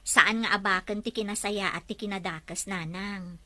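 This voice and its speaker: A woman acting a forbearing, soft-hearted young wife in a drama. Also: mid-range voice, steady tone, moderate pace, no room sound, lightly acted. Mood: subdued, patient. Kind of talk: Ilocano-language drama dialogue.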